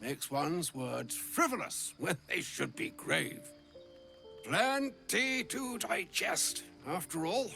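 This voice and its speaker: An actor playing an ancient, pompous, grumbling old wizard in a video game, with ornate archaic speech. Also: male voice, fairly high pitch, big pitch swings, slow, creaky, bright, forceful tone, soft background music, full character voice. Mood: contented, musing. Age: older. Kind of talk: video game character acting.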